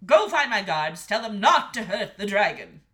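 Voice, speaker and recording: Woman acting a forceful, commanding king. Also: medium pitch, sing-song, normal speed. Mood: flustered. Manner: loud, authoritative. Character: commanding, protective king and father.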